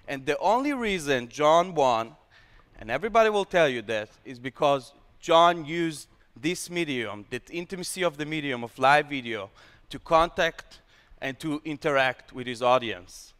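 A young man with an Israeli accent, a startup founder pitching his product on stage with energetic, slightly broken English. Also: moderate pace, smooth. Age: young adult. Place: large hall with stage. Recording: long hall reverb.

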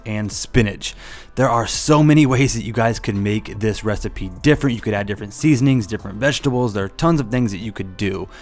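Casual man narrating a cooking vlog. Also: medium pitch. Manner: relaxed, casual explanations with fillers. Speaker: fitness channel host. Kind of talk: meal prep voice-over. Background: faint background music.

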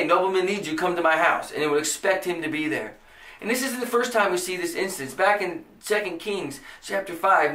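A man's voice: high voice, fairly bright tone, reverberant, recorded from a distance, low-quality recording. A man in his thirties with an American accent, preaching a podcast sermon with earnest emphasis. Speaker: pastor. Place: small indoor room before a church banner.

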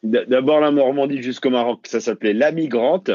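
A man's voice: mid-range voice, a little fast, a little mellow, dry recording. A French man, a runner and mental coach, talking casually as a guest and restarting his sentences often. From France. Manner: hesitant, many restarts and fillers. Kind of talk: casual interview. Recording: dull lo-fi call audio.

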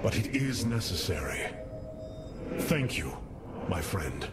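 An actor playing a noble alien leader in a video game cinematic, speaking in a formal, commanding and thoughtful way. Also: male voice, low voice, big pitch swings, slow, loud music behind the voice, heavily acted. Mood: earnest, stately. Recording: game audio with loud background ambience.

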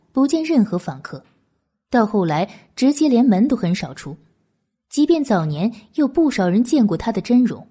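A woman's voice: on the high side, mellow, slightly rough. A woman narrating a historical novel as an audiobook in a dramatic, scripted style.

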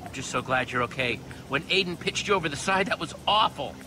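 A man's voice: a little high, expressive, fairly quick, noisy background, lightly acted. A man voicing an earnest, plucky young adventurer hero in a video game, speaking eagerly and expressively. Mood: relieved, concerned. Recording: music under the dialogue.

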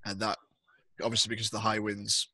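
A man's voice: lowish voice, completely flat delivery, brisk, bright, forceful tone, no room sound. A man narrating a tabletop roleplaying game as game master over a headset. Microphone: headset on a video call.

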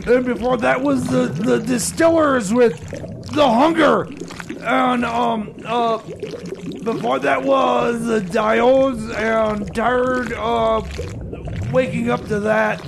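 Very high-pitched, stammering, anguished radio host. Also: male voice, steady tone, creaky, background noise, heavily acted. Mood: weary, pained. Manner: halting, stammering, whiny. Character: flustered, anguished male radio show host in comedy sketch. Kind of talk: improvised comedy radio theater, back-announcing songs.

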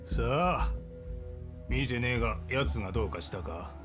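An actor playing a scornful, disgruntled yakuza gangster with rough, sneering speech, in a crime video game. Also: male voice, medium pitch, normal speed, music in the background, lightly acted.

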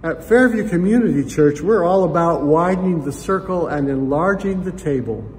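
An older man, a pastor, giving measured, formal welcoming remarks to open a worship service, recorded in a church sanctuary. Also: a little high, lively intonation, darkish tone, slight background noise. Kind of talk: scripted welcome and opening remarks. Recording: reverberant sanctuary with faint ambience.

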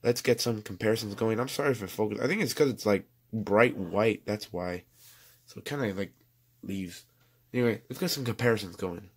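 A man's voice: on the low side, brisk, slightly rough. Young man losing his voice, chatty. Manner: rambling, chatty, self-correcting. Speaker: toy reviewer. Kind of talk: casual toy review voice-over.

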